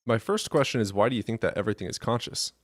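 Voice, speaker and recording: A man's voice: lowish voice, animated, fast, no room sound. A man hosting a call-in debate show, asking callers direct questions. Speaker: host.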